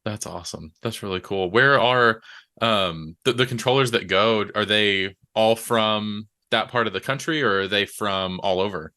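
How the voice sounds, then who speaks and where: A man hosts a casual interview in a conversational style. His voice is a little low yet bright and forceful, and he responds in an encouraging, affirming manner. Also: normal speed. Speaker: interview host.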